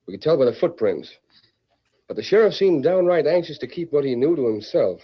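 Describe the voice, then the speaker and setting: A man's voice: fairly high pitch, sing-song, brisk, husky. A man playing a plainspoken frontier rancher with folksy western phrasing in an old-time radio drama. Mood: troubled. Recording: muffled old radio recording.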